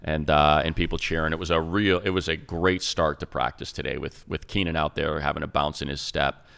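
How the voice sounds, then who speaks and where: A man's voice: extremely low pitch, fairly even intonation, brisk, gravelly, a bit bright, clear, resonant tone. A man co-hosting a sports talk show, breaking down football in a rambling, restart-heavy way. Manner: rambling analysis with many restarts. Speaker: radio play-by-play announcer and co-host.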